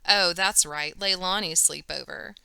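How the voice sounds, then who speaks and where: A woman's voice: lowish voice, measured pace, gravelly, sharp tone, clear, resonant tone, no echo, heavily acted. A woman voicing a snippy, dismissive popular schoolgirl in a children's storybook reading. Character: snippy, sneering popular schoolgirl, leader of her clique.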